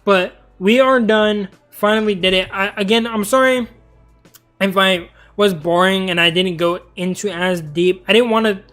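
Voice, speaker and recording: Young male streamer, high voice, rambling casual chat, tired and going hoarse, faint music underneath. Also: moderate pace, a bit bright. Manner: rambling, talkative. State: tired, losing his voice. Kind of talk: casual live-stream commentary.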